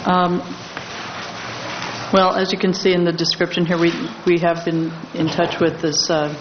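A woman with a low voice presenting a staff report to a board, semi-formally and with hesitant pauses. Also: level tone. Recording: echoey, muffled, very noisy meeting room.

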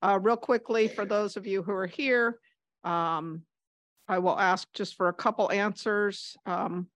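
A woman's voice: medium pitch, steady tone, measured pace, no echo. A woman chairing a city council meeting, hesitant and apologetic, with many fillers.

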